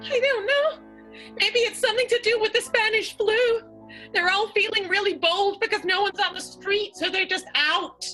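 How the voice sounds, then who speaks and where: A woman acting a flighty, exasperated wife in an improvised 1920s radio soap, with halting, dramatic delivery. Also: extremely high pitch, bright, forceful tone, faint music behind, poor audio. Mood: wondering.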